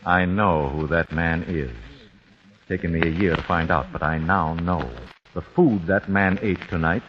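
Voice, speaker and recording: A cold, vengeful host. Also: male voice, low-pitched, fairly even intonation. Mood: cold, menacing. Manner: measured, formal, icily polite. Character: grim vengeful father, cold and formal. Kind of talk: 1940s radio acting. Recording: dull lo-fi old radio recording with faint hiss.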